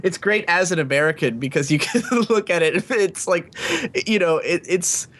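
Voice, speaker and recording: A man's voice: high-pitched, moderate pace, fairly bright tone. A man co-hosting a casual podcast, rambling with lots of restarts and fillers and laughing often. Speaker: podcast co-host. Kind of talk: casual group podcast chat.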